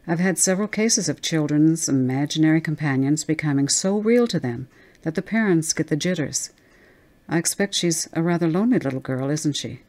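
A woman voicing a reassuring old male family doctor in a story, in a measured, professional manner. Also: deep voice, animated, darkish tone.

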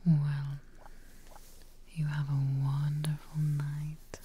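A young woman playing a kindly witch receptionist in an ASMR role play, speaking very softly in a breathy, whispery, reassuring way, close to the microphone. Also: sits low, monotone, slight background noise. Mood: gentle, pleased. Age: young adult. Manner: slow, gentle, reassuring, caring. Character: kindly, soothing witch receptionist tending a guest.

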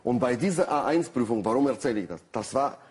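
A man's voice: medium pitch, lively intonation, fast talker, darkish tone, a little hiss or hum. A middle-aged man with a Russian accent, a guest writer on a talk show, speaking hesitantly with many fillers and dry humor. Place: TV studio with audience.

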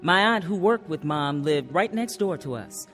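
Expressive, earnest woman. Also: fairly low pitch, normal speed, faint music behind. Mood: reflective. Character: earnest woman recalling her troubled youth. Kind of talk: first-person radio drama narration and acting.

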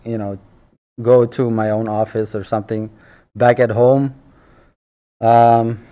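A man in his thirties chatting casually on a live stream from a small office, with a naturally loud voice and relaxed chuckling asides, into a headset mic. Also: mid-range voice, slow pace. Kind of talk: casual chat while drawing on stream. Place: small office room, indoors. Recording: dull lo-fi stream audio.

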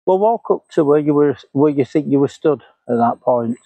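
Fifties investigator, musing and thinking aloud outdoors, warm low-key voice, close dry sound. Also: male voice, normal speed, no room sound, low-quality recording. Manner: musing, retracing steps aloud. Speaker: paranormal investigator. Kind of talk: casual walk-and-talk vlog. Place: woodland outdoors. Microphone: clip-on lapel mic.

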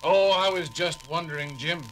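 An actor playing a gruff, skeptical male ship's doctor who talks dryly and bluntly, in a 1970s audio drama. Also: a little high, normal speed, a little hiss or hum, close to an everyday voice. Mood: worried. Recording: loud music score behind voice.

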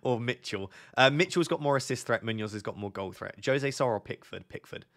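A man in his thirties with a sore, fading voice, reading viewer questions and thinking aloud on a live stream from a home desk. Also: medium pitch. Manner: thinks aloud, reads questions from chat, talks quieter and slower. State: losing his voice, sore throat. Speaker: fantasy football streamer. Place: indoor home studio at a desk.

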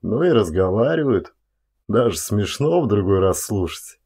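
A man's voice: fairly low pitch, big pitch swings, moderate pace, dark tone, slightly rough, exaggerated voice. A man voicing a staid, hospitable rustic forest warden with folksy, sedate speech in an audiobook. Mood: folksy, pleased.

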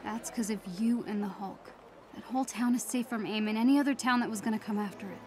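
A woman's voice: fairly high pitch, fast, lightly acted. An actress playing an earnest, upbeat teenage superhero girl, informal and encouraging, in a video game. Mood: upbeat, reassuring. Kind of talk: naturalistic video game cutscene acting. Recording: music behind the dialogue.

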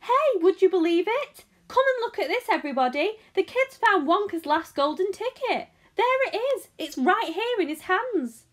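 Lady doing a fat shop man from a kids' story, super excitable, yelling way up high. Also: normal speed, few pauses. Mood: thrilled, yelling. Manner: exclamatory, excitable. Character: fat, pleasant shopkeeper man turning wildly excited. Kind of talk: children's audiobook character acting.

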